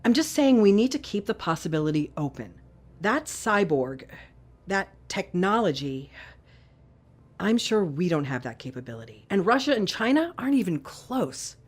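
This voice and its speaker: A woman's voice: medium pitch, big pitch swings, moderate pace. An actress playing an authoritative, probing female intelligence chief in an audio drama, direct and commanding. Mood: grave, confiding.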